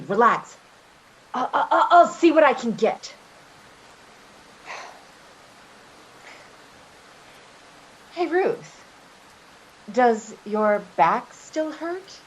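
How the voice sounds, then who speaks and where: A woman playing an evasive, manipulative caregiver in a readers theater drama, halting and repeating her words. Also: high-pitched, expressive, a little hiss or hum, poor audio. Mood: wheedling, placating. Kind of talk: readers theater stage acting.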